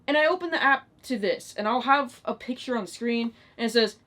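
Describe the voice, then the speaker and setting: A woman's voice: on the high side, a little fast, fairly bright tone. A nineteen-year-old woman ranting in a casual, unscripted vlog style, exasperated and emphatic, with plenty of swearing. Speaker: online video creator.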